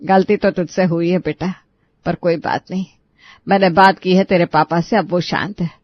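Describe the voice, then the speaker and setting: A woman's voice: fairly low pitch, steady tone, moderate pace, no echo, muffled recording, natural voice. A woman voicing a middle-aged mother, soothing yet admonishing, in an audio story. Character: middle-aged submissive, cautioning mother advising her daughter.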